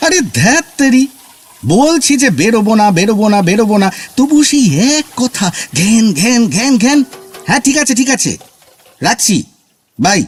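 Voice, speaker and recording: A man's voice: very high-pitched, lively intonation, normal speed. An actor playing an exasperated, politely impatient male writer who stammers and grumbles in a comic audio drama. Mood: harried, grumbling. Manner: flustered, stammering, grumbling asides.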